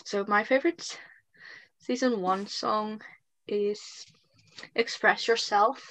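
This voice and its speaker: A woman's voice: medium pitch, fairly even intonation, takes it slowly, a bit bright, dry recording, low-quality recording. A woman chatting casually with a co-host about favorite songs, often giggling. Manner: giggly, breaks into singing lines. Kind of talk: casual fan chat.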